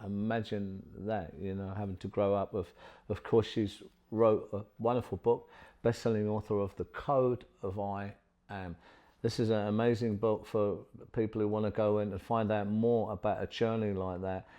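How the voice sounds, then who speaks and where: A middle-aged man with a slightly low-pitched voice hosts an interview from a studio. He talks in an effusive, rambling way, piling up fillers and restarts. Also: level tone, normal speed, dark tone. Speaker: podcast host.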